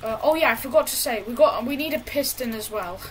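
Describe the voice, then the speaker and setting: A woman with a fairly high voice talks through a gameplay tutorial in a loose, unscripted way, stumbling over fillers and restarting her sentences. A faint hiss sits under the voice-over. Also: lively intonation. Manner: halting, many fillers and restarts. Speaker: gaming tutorial creator. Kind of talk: casual walkthrough over gameplay.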